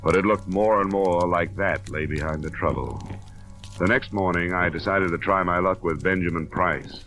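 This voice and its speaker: Low, hard-boiled radio detective. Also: male voice, normal speed, a bit bright, soft background music. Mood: cool, reflective. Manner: clipped, dry, pressing, matter-of-fact. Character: insurance investigator, cool, dogged, hard-boiled male detective. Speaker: lead character and narrator. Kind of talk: old-time radio detective drama acting. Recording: noisy vintage radio drama recording.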